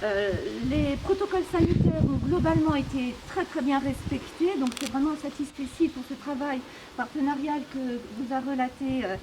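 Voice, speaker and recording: A woman with a high voice speaks in an unscripted official briefing. Her phrasing is formal and halting, with frequent hesitation, and there is clear noise on the recording. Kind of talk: spoken press briefing, unscripted. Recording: clearly noisy on-location recording.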